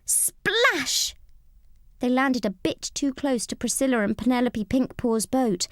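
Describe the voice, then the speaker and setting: A woman narrating a children's storybook read-along in an expressive, scripted style. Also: sits high, unhurried, dry recording, clean studio sound.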